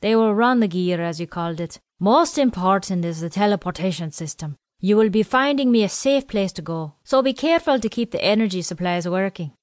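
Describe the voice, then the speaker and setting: A woman's voice: medium pitch, expressive, brisk, hardly any pauses, dry recording, natural voice. A woman voicing a fussy, teasing male quartermaster with a wheezy, nasal voice, in a dry recording.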